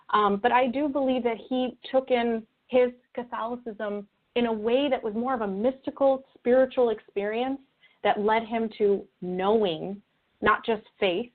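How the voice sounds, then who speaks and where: A woman interview guest explaining her views in an earnest, reflective, conversational way. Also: a little high. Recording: tinny narrow-band phone or call line.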